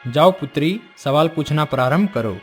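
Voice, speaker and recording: A man's voice: a little high, moderate pace, soft background music, natural voice. Formal, dignified king voiced by a man. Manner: formal, courtly. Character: dignified middle-aged king, formal father of a princess.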